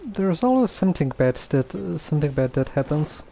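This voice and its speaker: A game streamer giving casual live commentary in a hesitant, low-key, musing way. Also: big pitch swings, a little fast, creaky, mellow, rough recording.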